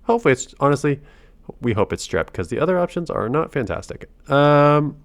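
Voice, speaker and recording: A man's voice: on the high side, big pitch swings, a little fast, no room sound. A man with a cold, nasal and congested, giving casual commentary on his own game match, thinking aloud and breaking off mid-sentence. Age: adult father. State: sick with a cold. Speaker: gaming channel host.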